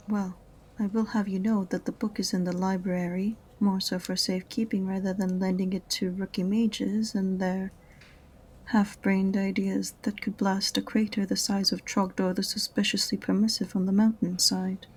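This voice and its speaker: A woman's voice: medium pitch, little pitch movement, moderate pace, husky, lightly acted. A woman playing an aloof, sarcastic witch librarian in a fantasy audio roleplay, prim and condescending in a dry way. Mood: condescending, scolding. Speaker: voice actor in amateur audio roleplay.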